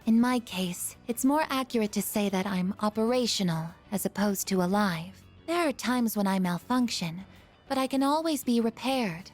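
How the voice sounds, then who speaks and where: A woman voicing an earnest, gentle female android in a video game, with measured, formal phrasing. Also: mid-range voice, animated, faint music behind, lightly acted. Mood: matter-of-fact.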